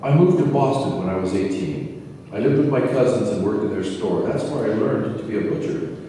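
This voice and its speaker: A man's voice: lowish voice, lively intonation, fairly quick, warm tone, lightly acted. A man playing a genial, inquisitive elderly spiritual teacher in an amateur radio play. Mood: reflective, assured. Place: large reverberant hall. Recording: very echoey hall, distant mic, faint noise.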